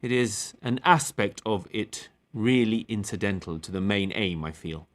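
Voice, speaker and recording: A man playing an authoritative, defensive captain giving evidence, speaking formally, deliberately and firmly in a table read of a courtroom play. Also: on the low side, close to an everyday voice. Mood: measured, explanatory.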